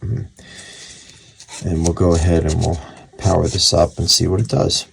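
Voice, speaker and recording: Very deep, gravelly-voiced man explaining casually. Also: moderate pace. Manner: rambling, conversational, matter-of-fact. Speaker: radio repair hobbyist presenting a tutorial. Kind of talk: casual unscripted workbench explanation.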